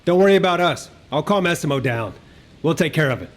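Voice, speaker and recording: A man's voice: on the high side, lively intonation, quick pace, natural voice. An actor playing an upbeat, teasing male squad leader in a video game cutscene. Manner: teasing, commanding.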